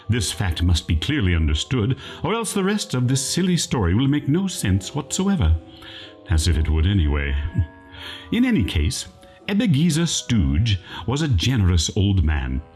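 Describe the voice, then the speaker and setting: Radio play announcer played by a man: grandiose storyteller, extremely animated, mock-serious, over music. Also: mid-range voice. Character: grandiose storybook narrator of a parody tale. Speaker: announcer and narrator. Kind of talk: theatrical scripted narration for parody radio play.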